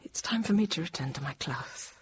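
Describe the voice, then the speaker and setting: An actress playing an anxious, tender thirty-year-old infant teacher, confiding her account in halting, reflective phrases in a radio drama. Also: sits low, expressive, husky, heavily acted. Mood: tender, tired. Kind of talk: radio drama monologue, intimate first-person narration. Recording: dull radio sound with faint noise.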